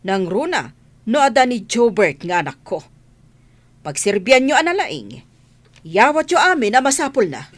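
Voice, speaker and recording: A woman's voice: fairly high pitch, big pitch swings, moderate pace, long pauses, no echo. A Filipino woman playing a haughty, scolding mistress of a mansion in a radio comedy drama. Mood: haughty, bossy. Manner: imperious, scolding. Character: haughty, bossy mansion mistress, mother of a grown son.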